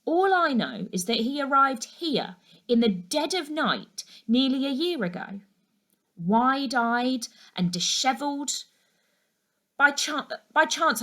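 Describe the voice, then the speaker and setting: A woman's voice: high voice, pushed and bright, pitched above the performer's own voice, exaggerated voice. A woman voicing a flamboyant, gossipy village busybody in her sixties for a children's story, chatty, effusive and dramatic. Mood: conspiratorial.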